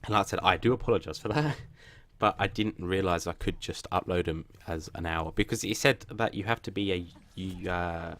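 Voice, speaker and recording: A man with a somewhat low, plain and flat voice talks casually to his viewers about his gaming channel. He rambles and hesitates, restarting sentences often. He keeps running himself down as he goes. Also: moderate pace, few pauses. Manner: self-deprecating.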